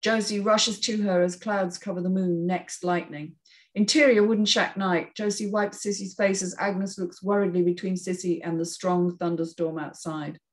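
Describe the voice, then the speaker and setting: A woman's voice: fairly low pitch, steady tone, normal speed. A woman narrating and hosting a script reading, reading out screenplay stage directions. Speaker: narrator and panel host.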